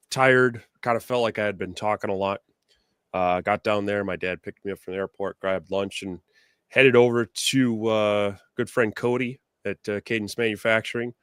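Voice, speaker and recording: Hoarse, deep-voiced man co-hosting. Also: fairly bright tone, no room sound, studio quality. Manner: rambling, filler-heavy. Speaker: co-host. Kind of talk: casual live-stream chat.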